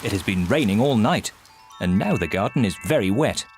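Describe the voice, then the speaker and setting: A man narrating a children's cartoon in a calm, dry, understated way. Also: on the low side, brisk. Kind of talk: scripted storybook narration. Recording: narration with loud cartoon music.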